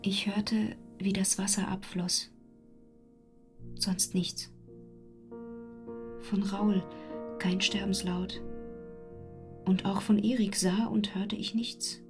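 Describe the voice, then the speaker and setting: An actress playing a sorrowful young woman who narrates in a hushed, intimate radio play style. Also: medium pitch, flat delivery. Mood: numb, tense. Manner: hushed, slow, deliberate. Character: sorrowful young woman quietly recounting her ordeal. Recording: guitar and piano music behind. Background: guitar and piano.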